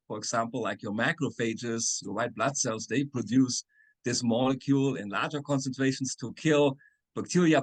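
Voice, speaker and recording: A man's voice: medium pitch, husky. A middle-aged man, a chemistry professor answering interview questions on a video call, explaining patiently with many "uh"s and tag questions. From Germany. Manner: explanatory, frequent "right?" and "uh".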